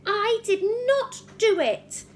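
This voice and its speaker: A woman's voice: expressive, slow pace, a little hiss or hum, full character voice. A woman voicing an indignant, stubborn young schoolgirl who insistently protests her innocence in a storybook. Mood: angry insistence. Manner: high, insistent, pleading protest.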